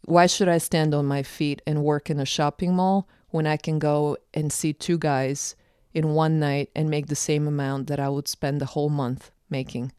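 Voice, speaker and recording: Woman from bulgaria, documentary maker, emphatic and reflective, crisp voice. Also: low voice, flat delivery, normal speed, warm tone, no echo, clean studio sound. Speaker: documentary filmmaker. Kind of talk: interview answers.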